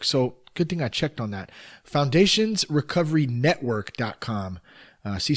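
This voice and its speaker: Raspy, tired-voiced man on a podcast. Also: medium pitch. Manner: casual, upbeat, rambling with many fillers. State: voice worn and tired. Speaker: podcast host. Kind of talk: solo podcast monologue.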